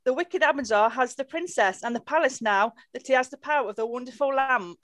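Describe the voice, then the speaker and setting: Woman as high-voiced helpful pantomime spirit. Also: a little fast, fairly bright tone. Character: helpful, down-to-earth pantomime spirit of a magic ring. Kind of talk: script read-through on video call. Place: room at home, video call.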